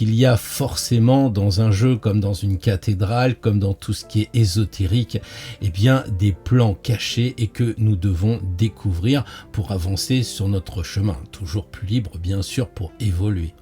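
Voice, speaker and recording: A man's voice: fairly low pitch, mellow. A man hosting a tarot channel, explaining in a casual, playful way and talking straight to the viewer, with frequent hesitations. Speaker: tarot teacher and channel host.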